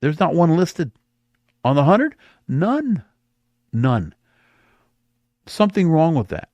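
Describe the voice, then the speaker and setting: Male podcast host, solo commentary. Higher-pitched, very animated delivery, emphatic and conversational, recorded dry. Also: slow, no room sound, lo-fi sound.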